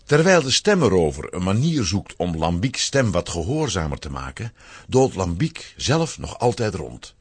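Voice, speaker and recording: A man narrates a children's story with dramatic emphasis. His voice is lowish and heavily husky, and it moves through lively swings of intonation. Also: moderate pace.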